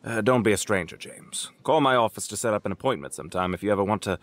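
A man's voice: lowish voice, fast, fairly bright tone, close to an everyday voice. An actor playing an avuncular older city mayor in an audio drama, hearty and fatherly. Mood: apologetic.

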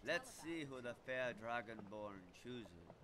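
An actor playing an irritated warrior in fantasy game dialogue, bluntly answering back to a rival. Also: male voice, medium pitch, very animated, takes it slowly. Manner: blunt, retorting.

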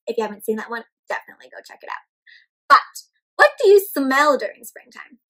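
A young woman hosting an interactive picture-book read-aloud for small children, expressive and animated, asking them questions as she goes. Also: high-pitched, a little fast, poor audio. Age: young adult. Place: indoor room at home with plants and bookshelf.

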